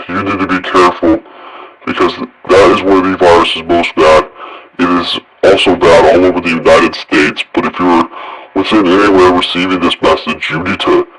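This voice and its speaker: A man playing a deep-voiced, grave emergency broadcast announcer. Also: sharp tone, breathy edge, full character voice, low-quality recording. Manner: grave, halting, repetitive. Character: emergency alert broadcast announcer warning of deadly virus.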